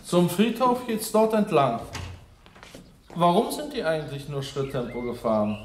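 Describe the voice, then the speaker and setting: An actor playing a scheming villager who puts on an innocent manner in a radio crime play, expressive in delivery. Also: male voice, fairly high pitch, normal speed, a little hiss or hum. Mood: feigned innocence.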